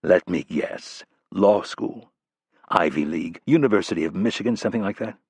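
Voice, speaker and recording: A hard-nosed spy guy, voiced by a man reading the book, low gravelly-deep and clipped, dry sarcasm, close dry sound. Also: normal speed, darkish tone, dry recording, exaggerated voice. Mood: dry, curt. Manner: low, curt and sardonic. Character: tough blunt male covert operative, dry humor.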